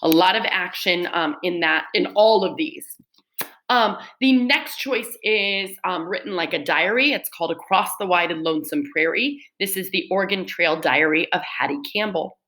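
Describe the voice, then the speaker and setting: A woman's voice: fairly low pitch, moderate pace, a bit bright, poor audio. A woman speaking as a school teacher, reading summaries aloud and explaining them to pupils with stressed key words.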